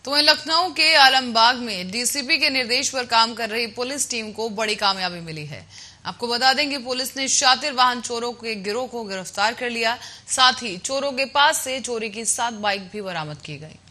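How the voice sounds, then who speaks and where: A woman's voice: fairly high pitch, moderate pace, pushed and bright. A woman reading scripted news as an anchor.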